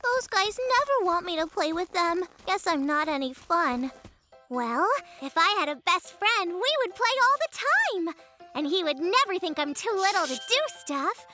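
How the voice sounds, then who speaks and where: A woman's voice: very high voice, animated, normal speed, sharp tone, heavily acted. A woman voicing a cheerful, eager little girl bear cub in a children's cartoon, easily wounded and earnest. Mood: sad, lonely. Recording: children's music behind the voice.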